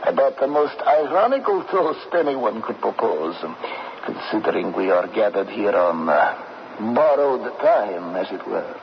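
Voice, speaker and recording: An actor playing a dead professor in an old radio drama, formal and erudite with a dry manner. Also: male voice, lowish voice, big pitch swings, unhurried, creaky, exaggerated voice. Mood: wry. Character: genteel, wry dead Professor. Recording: muffled, noisy old radio broadcast.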